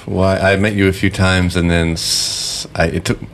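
Low-voiced rambling male host. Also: level tone, moderate pace, slight background noise. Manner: rambling, searching for words, frequent fillers. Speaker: podcast host. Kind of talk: casual interview.

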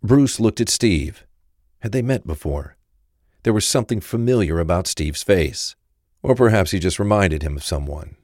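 Guy with a really deep voice narrating a crime thriller audio story, no echo. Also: lively intonation, moderate pace, darkish tone, clean studio sound.

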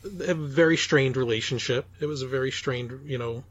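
A man co-hosting a podcast in casual discussion, speaking haltingly with many ums. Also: mid-range voice, lo-fi sound. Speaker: podcast co-host.

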